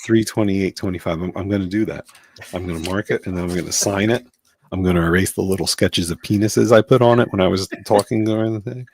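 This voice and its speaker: A man's voice: deep voice, fast, a little mellow. A man hosting a casual podcast chat from New Jersey, joking and rambling with lots of fillers. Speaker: host.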